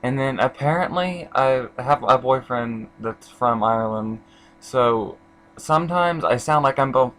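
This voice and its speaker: A man's voice: mid-range voice, a bit bright, low-quality recording. A young man from Indiana casually reviewing an album, rambling with lots of fillers and restarts.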